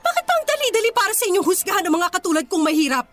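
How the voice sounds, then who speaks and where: A woman's voice: extremely high pitch, very animated, very fast, a bit bright, faint music behind, heavily acted. A woman acting a poor but proud young working woman in a radio drama, speaking with earnest, wounded dignity. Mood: indignant.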